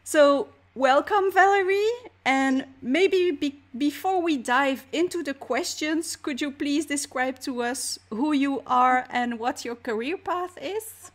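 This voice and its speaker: High-pitched woman host, upbeat and encouraging, talks straight to her listeners. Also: slow pace. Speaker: podcast host. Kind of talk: podcast interview and promo.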